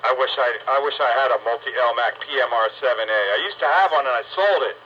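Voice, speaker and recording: A man's voice: mid-range voice, quick pace. A man in a casual radio chat, wisecracking in colloquial speech over a two-way radio transmission. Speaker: amateur radio operator. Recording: dull radio audio, faint static.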